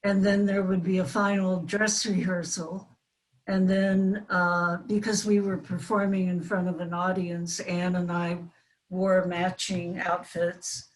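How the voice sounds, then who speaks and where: An older woman, a guest on a panel, reminiscing in a halting, thoughtful way. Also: lowish voice, little pitch movement, rough recording. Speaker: panel guest, former child radio actress.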